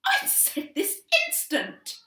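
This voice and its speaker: A woman's voice: extremely high pitch, wildly swooping pitch, moderate pace, bright, forceful tone, voice pushed up, exaggerated voice. A woman reading aloud, voicing a dramatic, bossy mother who shrilly barks orders. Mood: commanding. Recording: roomy sound from a distance.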